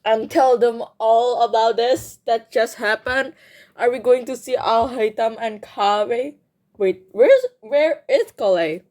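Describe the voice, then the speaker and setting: A woman giving casual gameplay commentary, excitable and thinking aloud, often repeating herself. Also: on the high side, slow. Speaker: game streamer. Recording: game music behind the voice.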